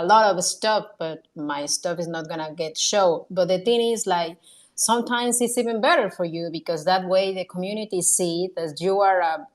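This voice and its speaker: A woman speaking as a community guest, giving informal advice in an earnest, encouraging way. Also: fairly low pitch.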